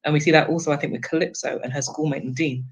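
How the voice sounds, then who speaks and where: A woman talks at a very quick pace in a book club discussion. She is articulate and emphatic, building her argument point by point and often tagging it with a short question. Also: low voice, fairly even intonation, husky. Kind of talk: discussion, literary commentary.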